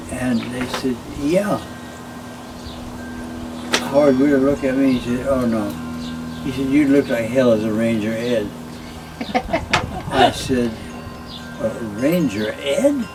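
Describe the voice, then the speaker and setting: An older man casually telling stories from his past, quoting conversations as he goes. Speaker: former children's TV host. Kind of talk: casual storytelling. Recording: distant mic, loud vehicle-like background rumble.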